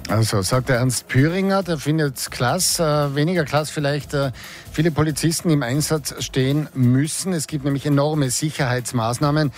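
A man's voice: fairly high pitch, normal speed. A man presenting on regional radio, loose and chatty with small fillers. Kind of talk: live radio moderation.

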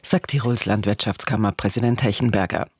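A man's voice: fairly low pitch, a little fast, a little hiss or hum. A man with an Austrian accent reading a scripted radio news bulletin.

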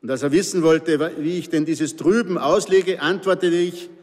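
A man's voice: on the high side, steady tone, normal speed, darkish tone, long reverb tail. A man from the Pinzgau region with an Austrian accent, reading his own story aloud with dry, wry humor. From Pinzgau, Salzburg, Austria.

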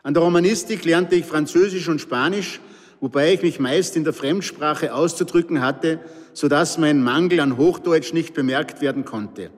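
Older austrian guy reading his memoir, dry calm telling, bit high voice, long reverb. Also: normal speed. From Pinzgau, Austria. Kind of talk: scripted narration.

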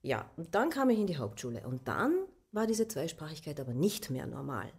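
Middle-aged Austrian woman with Slovene roots, a touch low in pitch, telling a story thoughtfully with hesitations. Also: sing-song, a little fast, a little mellow, slightly rough. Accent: Austrian German. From southern Austria, Carinthia. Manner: reflective, hesitant, with questioning asides. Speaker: interviewee. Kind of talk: personal storytelling.

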